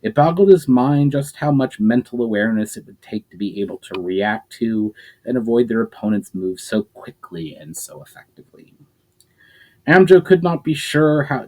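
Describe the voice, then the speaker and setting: A man reading a fantasy novel aloud as a storyteller, expressive, with occasional slips and restarts. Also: mid-range voice, low-quality recording.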